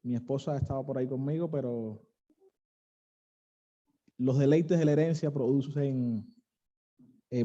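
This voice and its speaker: A young man with a Cuban accent preaching an earnest sermon, pausing to stress key phrases. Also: mid-range voice, dark tone, muffled recording. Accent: Cuban, western coastal. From Cuba.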